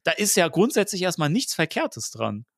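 A man hosting a casual fan podcast, chatting in a halting way as he searches for words. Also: high-pitched, very animated, dry recording, clean studio sound. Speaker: podcast host.

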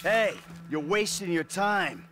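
A man's voice: high-pitched, takes it slowly. An American man acting in a video game as a weary middle-aged criminal husband, insistent, persuasive and self-justifying. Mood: dismissive. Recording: game audio with loud music.

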